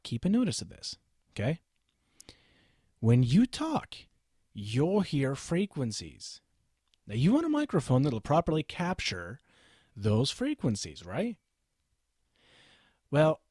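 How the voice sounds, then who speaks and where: A man's voice: mid-range voice, darkish tone, no room sound, studio quality. Emphatic man lecturing about microphones. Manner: emphatic, lecturing, self-assured. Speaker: microphone reviewer. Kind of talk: opinionated gear review to camera. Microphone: studio microphone, switched during the video.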